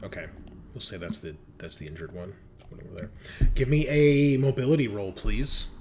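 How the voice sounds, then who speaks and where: Low voiced guy as game master, chatty improvised narration, bit of background noise. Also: big pitch swings, moderate pace, mellow. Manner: conversational, colloquial, with some profanity.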